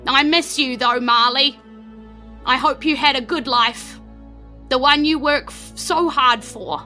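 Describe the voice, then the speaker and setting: A woman voicing a tired, grieving man who keeps a workshop, speaking slowly and heavily. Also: sits high, pushed and bright, soft background music. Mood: weary, sorrowful. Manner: slow, heavy, sorrowful.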